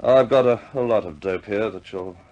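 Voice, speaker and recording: Man playing a deep-voiced, grave British air officer. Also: darkish tone, dull audio, natural voice. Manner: deliberate, measured briefing. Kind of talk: 1950s British war film acting.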